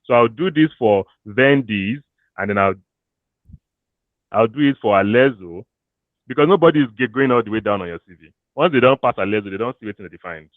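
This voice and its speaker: A Nigerian man talks career strategy in an animated, loose way, dropping pidgin phrases now and then. His voice sits at an ordinary pitch. The sound is thin and phone-like, with no room echo. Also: brisk. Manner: animated, switches into pidgin, laughs often. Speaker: career coach. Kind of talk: casual talk to an audience. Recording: thin phone-like dry audio.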